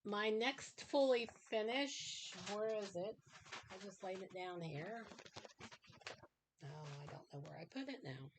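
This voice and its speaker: A woman's voice: medium pitch, measured pace, background noise. A middle-aged woman chatting casually in her home craft room, rambling with frequent ums and uhs, her voice dry after a sinus infection. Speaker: craft vlogger. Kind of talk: casual show-and-tell chat.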